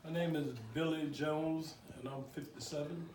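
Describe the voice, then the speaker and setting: A man playing a halting, hopeful father in his fifties. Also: on the high side, steady tone, reverberant, far from the mic, background noise, natural voice. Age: 50s. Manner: halting, repeats words, many "you know"s. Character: hopeful middle-aged father in family therapy. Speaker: father in a role-played therapy session. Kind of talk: improvised role play.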